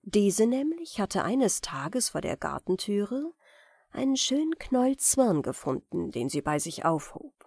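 A woman's voice: medium pitch, big pitch swings, unhurried, gravelly, darkish tone, breathy edge, no room sound, clean studio sound. A woman reading a fairy tale as scripted audiobook narration for bedtime.

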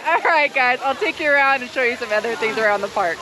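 A woman hosting a family vlog, chatting casually to the camera at an outdoor park and cheering loudly from a distance. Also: a little high, animated, quick pace, over loud music. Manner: upbeat, addresses viewers as "guys". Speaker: family vlogger host. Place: outdoor theme park and expo grounds. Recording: loud outdoor noise, vehicles and splashing water.

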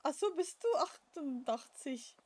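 A high-voiced woman in her thirties with a playful, giggly way of talking. She banters and teases casually during a game roleplay. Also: expressive, unhurried. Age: 30s.

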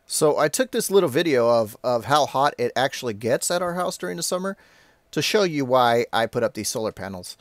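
A man giving a casual hands-on update as a video host, talking in an informal, halting, rambling way. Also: medium pitch, lively intonation, dry recording. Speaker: tech video maker, host.

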